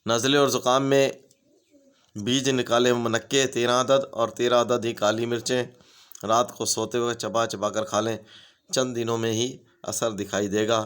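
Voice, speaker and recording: Man, smooth clear tone, flat delivery, steady instructive reading. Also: mid-range voice, normal speed. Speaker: home remedies channel host. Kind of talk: reading home remedy tips.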